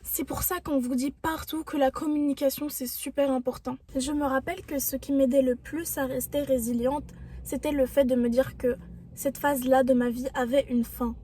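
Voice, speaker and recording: A woman's voice: sits high, fairly even intonation, normal speed, warm tone, a little hiss or hum. A young woman giving an earnest, encouraging self-help talk as a vlogger, reflective in tone.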